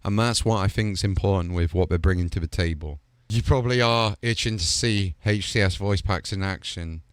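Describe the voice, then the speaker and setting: A man's voice: low-pitched, brisk, clean tone, dry recording. A man hosting a showcase, talking in a chatty, enthusiastic, casual way. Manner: chatty and enthusiastic, with filler like "kinda". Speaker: host showcasing game voice packs.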